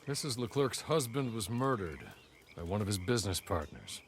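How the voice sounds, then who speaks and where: A man's voice: fairly low pitch, animated, moderate pace, a little hiss or hum, natural voice. An actor playing a polite male servant who speaks in a formal, deferential and wry manner. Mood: dry.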